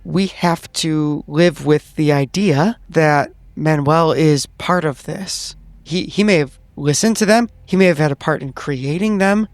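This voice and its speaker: A man roleplaying in a tabletop game, speaking in a measured, reasoning way. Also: a little high, no echo. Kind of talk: in-character improvised roleplay.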